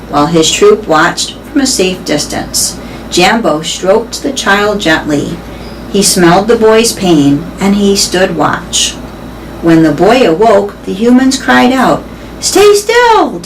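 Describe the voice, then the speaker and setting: A woman voicing a wise, weary old female elephant who tells stories in a gentle, measured, thoughtful way. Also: mid-range voice, slight background noise, low-quality recording.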